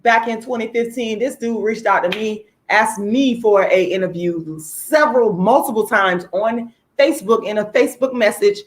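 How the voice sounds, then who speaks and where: A woman hosting a casual livestream, speaking to viewers in an informal, outspoken, emphatic way with a slightly hoarse voice. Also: a little high, normal speed, few pauses, a little hiss or hum. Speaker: livestream host, activist.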